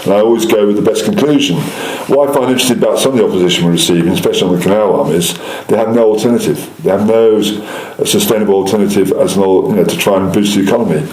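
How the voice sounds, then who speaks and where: A middle-aged man speaks as a local councillor in an interview, in an echoey room. His voice is low, and he talks at a rapid clip. He sounds assured, often restating his points. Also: a little mellow. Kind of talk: political interview answers.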